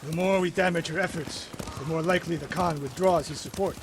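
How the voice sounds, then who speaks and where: An actor playing a proud, regretful older samurai master in a video game, speaking gravely in clipped, defensive phrases. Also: male voice, on the high side, fairly even intonation, fairly quick, noisy background, lightly acted. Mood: grave, resolute. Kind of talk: dramatic video game acting. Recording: music behind the voice. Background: music.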